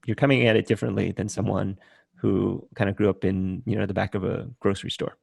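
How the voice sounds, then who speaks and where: A man in his forties, born in Vietnam, hosting a casual interview over a video call, relaxed and thinking aloud. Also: fairly low pitch, fast talker, creaky, breathy edge, no echo, studio quality. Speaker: podcast host.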